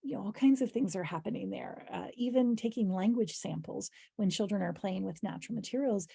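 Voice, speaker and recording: Husky, hoarse woman explaining as a trainer. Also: mid-range voice, brisk, warm tone, no echo, studio quality. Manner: conversational, explanatory. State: hoarse voice, clearing her throat. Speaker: early childhood educator leading a training. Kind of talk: informal instructional presentation with examples.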